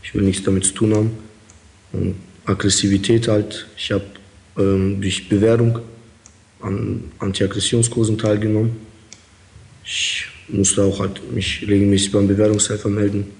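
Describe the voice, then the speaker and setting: A man's voice: lowish voice, level tone, normal speed, creaky, darkish tone. A young man from Kazakhstan answering interview questions as an inmate, hesitant with many fillers. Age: young adult.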